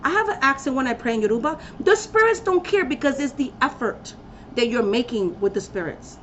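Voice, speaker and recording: Brief: woman with a fairly high voice, emphatic and rallying, blunt preacher-style delivery. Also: expressive, a little fast, lo-fi sound, a little hiss or hum. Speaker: spiritual practitioner giving a talk. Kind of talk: impassioned personal rant to camera.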